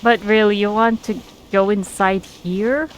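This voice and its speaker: A woman's voice: medium pitch, fairly even intonation, a little slow, a little hiss or hum, rough recording. A woman giving casual gameplay commentary, musing aloud to herself as she plays. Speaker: game streamer.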